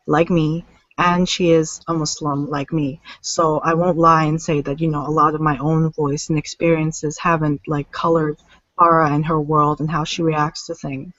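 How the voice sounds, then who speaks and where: A woman's voice: low voice, flat delivery, fairly bright tone, no room sound. Chatty woman on a dull, dry call. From United States. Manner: chatty, filler-heavy, quick self-corrections. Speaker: debut author on a panel. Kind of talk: casual panel discussion. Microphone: video call. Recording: dull lo-fi online call audio.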